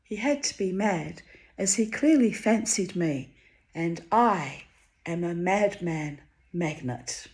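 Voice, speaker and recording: A Hungarian-born woman reading her own diary entries aloud, wry and theatrical, her voice swinging high and low for effect. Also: expressive, slow. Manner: wry, theatrical, dramatic swings of pitch and loudness.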